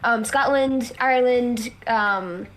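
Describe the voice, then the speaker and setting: A woman's voice: fairly high pitch, slow pace, a bit bright, faint music behind. A woman hosting a casual livestream, putting questions to her guests in an informal, chatty way. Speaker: livestream host asking questions. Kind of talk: casual question-and-answer chat.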